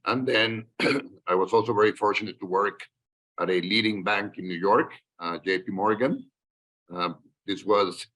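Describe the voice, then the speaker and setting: A man, a guest telling his career story in a podcast interview in a measured, reflective way. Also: sits low, sharp tone, low-quality recording. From Colombia. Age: 60s. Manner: measured, reflective, with frequent fillers and restarts. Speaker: guest, investment banker.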